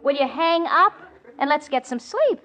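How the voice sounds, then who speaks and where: High, bright, exasperated young woman, old radio. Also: animated, normal speed. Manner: exasperated, theatrical, wry. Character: exasperated young woman roommate, sensible, sarcastic, long-suffering. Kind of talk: 1940s radio comedy acting. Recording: muffled, noisy old radio broadcast recording. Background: studio audience, hiss.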